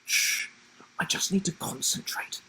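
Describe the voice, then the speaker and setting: A man's voice: unhurried, pushed and bright, a little hiss or hum, rough recording. A man voicing an eager, earnest young trainee giraffe in a children's story. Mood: concentrating.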